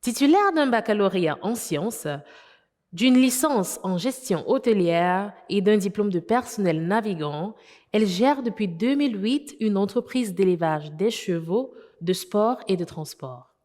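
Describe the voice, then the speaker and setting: A woman's voice: mid-range voice, expressive, a little slow, darkish tone, big-room sound. A woman hosting a TV interview, introducing a guest and asking rambling questions full of fillers. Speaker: interviewer host.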